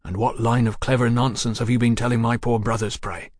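A man voicing a forceful, resolute gentleman scholar in an audiobook, with formal, measured and emphatic speech. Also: mid-range voice, little pitch movement, dry recording, studio quality, natural voice. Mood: wry. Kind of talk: audiobook character acting.

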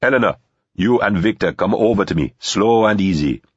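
A man's voice: on the low side, animated, normal speed, slightly rough, no room sound, dull audio. A man voicing a weary, sardonic squad leader who gives curt, slangy orders in an action audiobook. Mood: curt, commanding.

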